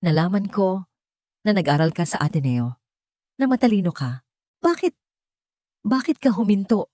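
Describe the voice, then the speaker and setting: A woman's voice: mid-range voice, very animated, normal speed, mellow, no room sound, clean studio sound, close to an everyday voice. A woman voicing an uneasy wealthy man in an audio story, hesitant and earnest, with a Filipino accent. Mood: pleading. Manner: hesitant, pleading.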